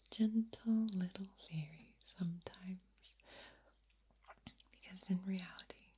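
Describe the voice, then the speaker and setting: A woman with a low voice, whispering ASMR to the viewer in a soft, drawn-out, playful way and softly repeating words. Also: a little hiss or hum. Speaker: ASMR creator and streamer.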